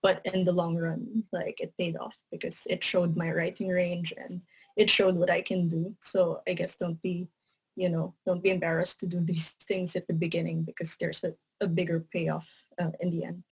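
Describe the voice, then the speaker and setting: A woman's voice: on the low side, moderate pace, husky, poor audio. A young adult woman with a Filipino English accent, talking about her writing career in an interview. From Philippines. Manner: relaxed, earnest, says "you know" a lot. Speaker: guest, writer and content editor. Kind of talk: casual interview answers.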